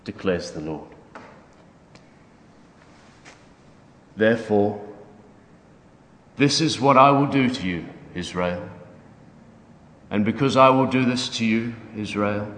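A man's voice: fairly low pitch, fairly bright tone. A man performing a dramatic scripture reading as a stern, wrathful God speaking through a prophet, solemn, declamatory and emphatic. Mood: wrathful, grave. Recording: echoey hall with long reverb.

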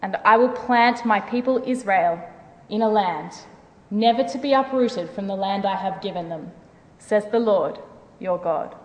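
A woman's voice: mid-range voice, measured pace, natural voice. A woman playing the stern, commanding voice of God in a declamatory dramatic scripture reading. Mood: resolute, promising. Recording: echoey hall with long reverb.

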